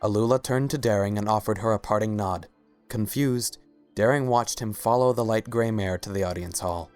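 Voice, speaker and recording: Low-voiced male story narrator. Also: normal speed, darkish tone, clear, resonant tone, soft background music.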